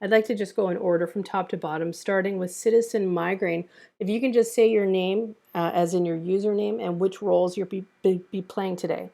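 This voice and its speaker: A woman speaks with noticeable vocal fry at an ordinary pitch, casually running a group session on an online voice chat. She moderates as a host, organizing who does what. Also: steady tone, a little fast, dark tone. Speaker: host of an online screenplay table read.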